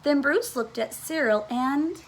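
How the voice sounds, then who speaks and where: A woman reading a children's storybook aloud in an expressive, dramatic way with deliberate, emphatic phrasing. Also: high-pitched, a little hiss or hum.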